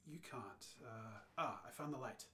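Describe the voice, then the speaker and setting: Man acting a brisk alien hitchhiker, mid-pitched, matter-of-fact, unfazed guide, radio play. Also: sharp tone. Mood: calm, explanatory. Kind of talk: radio play read-through.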